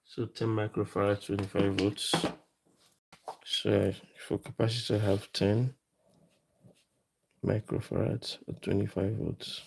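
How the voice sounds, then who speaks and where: Gravelly, even-toned man giving a tutorial. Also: fairly low pitch, measured pace, long pauses, slight background noise. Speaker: presenter of a DIY electronics tutorial. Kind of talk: casual hands-on tutorial talk, explaining as he works.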